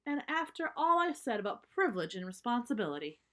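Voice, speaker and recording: A woman's voice: a little high, very animated, brisk, fairly bright tone, voice pushed up. A woman voicing a stern, exasperated mother bear from a children's storybook, scolding with indignant emphasis.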